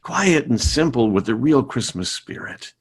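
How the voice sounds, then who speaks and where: A man's voice: mid-range voice, lively intonation, a little slow, slight background noise, lightly acted. Man acting an exasperated sitcom dad, widely swinging intonation. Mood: wistful indignation. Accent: American. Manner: stammering, indignant, sarcastic. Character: exasperated middle-aged father nostalgic for simple Christmases. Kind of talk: live radio sitcom acting.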